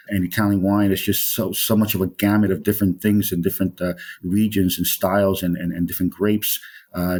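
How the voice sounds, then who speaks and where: A man's voice: low voice, a little mellow, slightly rough. A middle-aged man from Colombia, a wine specialist being interviewed, talking in a rambling way with many fillers and restarts. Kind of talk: interview conversation.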